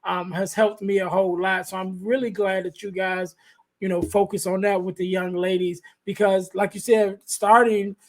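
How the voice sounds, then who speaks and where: A man hosting a podcast interview, with a light voice, talking in a soft-spoken, halting way full of restarts. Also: high voice, flat delivery. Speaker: podcast host. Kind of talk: conversational interview. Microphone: podcast microphone.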